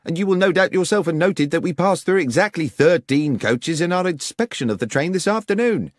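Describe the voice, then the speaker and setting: A man's voice: fairly high pitch, expressive, fairly quick, no echo, studio quality, pitched above the performer's own voice. A man voicing a puzzled police inspector in a mystery audiobook, emphatic and respectfully challenging. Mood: puzzled objection. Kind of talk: dramatised audiobook dialogue.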